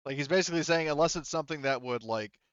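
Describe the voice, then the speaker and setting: A man acting as game master in a tabletop roleplaying session, narrating and explaining rules in a halting, circling way with many hedging asides. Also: on the high side, clean tone, dry recording, lo-fi sound.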